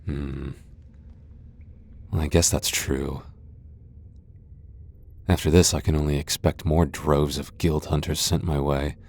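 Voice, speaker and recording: A man's voice: rumbling low, flat delivery, slow pace, long pauses, a little mellow, exaggerated voice. A man playing a proud, guarded tsundere vampire lord in an ASMR roleplay, with a haughty, sardonic and reluctant manner. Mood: wary, reluctant. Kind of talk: ASMR boyfriend roleplay audio drama.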